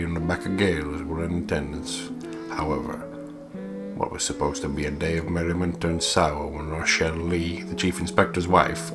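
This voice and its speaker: A man co-hosting a let's play, switching between casual, sweary jokes and reading game text aloud. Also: sits low. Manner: jokey, sweary banter. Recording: music behind the voice. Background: guitar game music.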